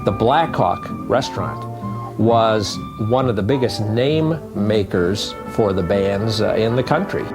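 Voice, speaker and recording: An older man recalls the past factually, as in a documentary interview. His voice is fairly low, with clear music behind it. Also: animated, unhurried.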